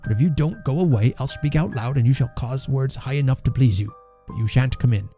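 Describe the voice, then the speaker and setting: A man voicing a stout, bullying landlord in a story, softly menacing, sarcastic and scolding. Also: mid-range voice, fairly even intonation, fairly quick, faint music behind, natural voice. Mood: scolding menace. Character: smug, bullying stout landlord feigning soft politeness.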